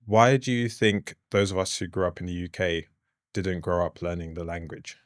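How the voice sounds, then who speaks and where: A man with a very deep voice hosts an interview show and asks questions in a relaxed, curious way. His voice sounds dry and close, with no room echo. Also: moderate pace. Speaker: podcast host. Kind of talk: conversational interview.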